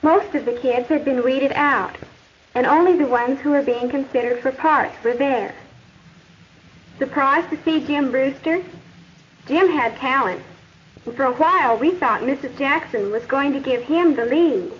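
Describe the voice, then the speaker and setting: High-voiced earnest schoolgirl, old film. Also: unhurried. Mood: earnest, confiding. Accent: American. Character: earnest high school girl student narrating school troubles. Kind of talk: 1950s educational film narration. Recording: muffled, noisy 1950s film soundtrack.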